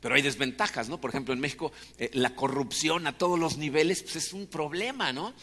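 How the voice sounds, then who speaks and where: A man's voice: on the high side, fairly quick, sharp tone, long reverb tail. A man from Mexico with a Mexican Spanish accent, preaching in a chatty sermon style full of rhetorical questions. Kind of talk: conversational sermon.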